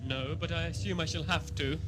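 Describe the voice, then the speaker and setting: A man's voice: on the high side, fairly even intonation, brisk, noisy background, close to an everyday voice. A man playing a formal, honourable alien ambassador with a dry, stately manner in a sci-fi audio drama. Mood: concerned.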